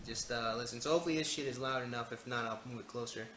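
A man's voice: mid-range voice, quick pace, over a noisy crowd. A man giving rambling video commentary, hesitant and often digressing. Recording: echoey, noisy homemade video.

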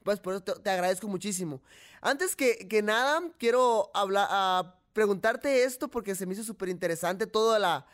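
High-voiced chatty man. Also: normal speed. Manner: animated, chatty, many restarts. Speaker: host. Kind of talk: casual sports talk.

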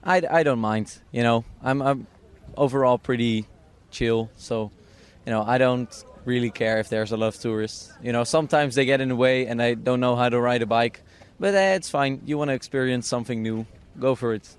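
A young man with a Dutch accent chats as a guest, his voice smooth and resonant, pitched in the middle for a man. He speaks English as a second language, hesitating with fillers and restarts and often laughing at his own remarks. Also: a little fast, hardly any pauses. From Netherlands. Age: young adult. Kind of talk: casual interview chat.

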